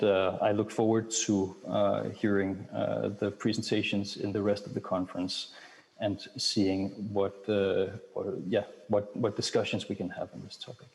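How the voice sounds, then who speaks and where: A middle-aged Danish-born researcher giving a lecture and answering questions, measured and hesitant with frequent fillers. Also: male voice, on the low side, vocal fry, darkish tone. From Denmark. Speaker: keynote speaker, researcher. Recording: long hall reverb.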